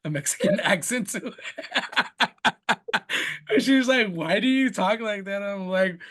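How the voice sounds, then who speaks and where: A young father, a native Spanish speaker, rambles easily through stories about his son. His voice sits a touch higher than most men's, and he keeps reaching for "you know" and "like" in a relaxed, unscripted way. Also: slow pace. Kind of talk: casual conversational interview.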